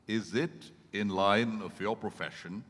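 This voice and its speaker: Man acting lonely ageing man, slow, echoey hall. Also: lowish voice, fairly even intonation, a bit bright. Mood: guarded. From India. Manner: halting, theatrical, repeats phrases for weight. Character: lonely, wistful ageing man living alone. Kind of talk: dramatized stage reading. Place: event hall at a hotel. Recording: echoey hall with long reverb.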